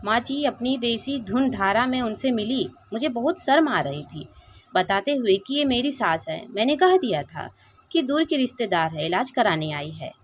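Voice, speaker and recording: A woman's voice: a little high, lively intonation, moderate pace, a little hiss or hum, lightly acted. A woman storyteller voicing a haughty, complaining daughter-in-law in a moral story. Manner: complaining, curt, dismissive. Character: haughty, shame-driven daughter-in-law, wife and mother. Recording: dull, lo-fi sound.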